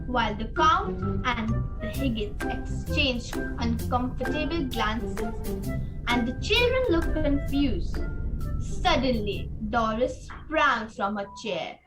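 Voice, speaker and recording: A woman's voice: fairly high pitch, expressive, slow, loud music behind the voice, poor audio. A woman narrating an amateur radio play as scripted storytelling.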